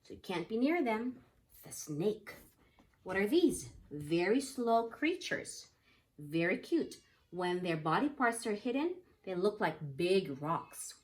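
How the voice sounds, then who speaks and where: A woman's voice: mid-range voice, very animated, slow. A woman teacher leading an interactive picture-book lesson for young children, explaining things simply and often asking the children questions.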